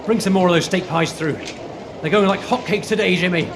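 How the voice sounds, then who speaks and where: A man playing a busy, bossy pie shop boss in an amateur radio play, brisk and commanding. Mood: impatient. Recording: noisy background rumble.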